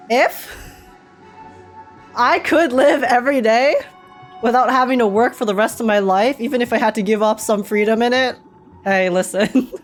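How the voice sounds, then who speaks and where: A woman giving casual, slangy, joking reaction commentary as a game streamer. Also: on the high side. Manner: slangy, joking, frequently chuckling.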